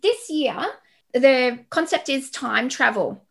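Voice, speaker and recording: High-voiced woman hosting an interview. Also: expressive, takes it slowly, gravelly. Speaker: podcast host, interviewer.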